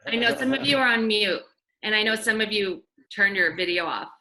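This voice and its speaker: Bright-voiced woman on a video call. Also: medium pitch, lively intonation, a little fast, poor audio. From Iran, raised in the United States. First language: Farsi. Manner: friendly, upbeat, asks the kids questions. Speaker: guest speaker, banker. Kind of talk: casual talk to youth on a video call.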